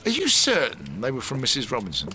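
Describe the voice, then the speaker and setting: An inquisitive detective, civil but persistent. His mid-pitched voice moves expressively through clipped questions, with faint noise behind it in a radio play. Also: huge pitch swings, brisk, pushed and bright, exaggerated voice. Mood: probing. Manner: short, probing questions. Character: probing male police detective, persistent yet civil. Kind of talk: radio drama dialogue.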